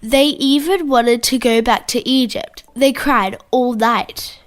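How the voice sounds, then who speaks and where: Higher-voiced Australian woman narrating for children. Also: slow. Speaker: children's Bible story co-narrator. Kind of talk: scripted storytelling for children.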